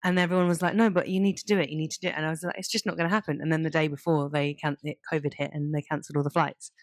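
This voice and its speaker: A woman's voice: sits low, level tone, very fast, dry recording. A young woman co-hosting a podcast in an informal, chatty way. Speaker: podcast co-host. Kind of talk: casual chat.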